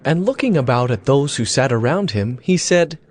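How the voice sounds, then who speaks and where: A man narrating scripture as a solemn story, with clear phrasing, in a dramatized audio reading. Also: mid-range voice, expressive, moderate pace, darkish tone. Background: music.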